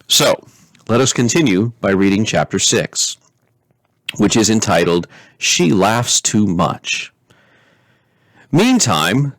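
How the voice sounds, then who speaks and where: A man, a pastor, reading a fairy tale aloud in expressive narration, stretching key words deliberately. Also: medium pitch, no room sound, low-quality recording.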